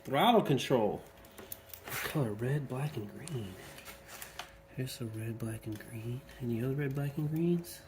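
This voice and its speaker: Casual male DIY video maker, mid-pitched, talking himself through hands-on work, with clear background noise on a homemade recording. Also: animated, measured pace. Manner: informal, thinking aloud while working. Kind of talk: casual hands-on repair narration.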